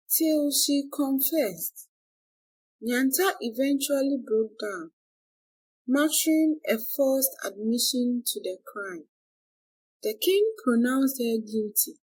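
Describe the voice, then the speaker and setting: A woman narrating a folktale from a script in a deliberate, expressive storytelling manner. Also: high voice, slightly rough, rough recording.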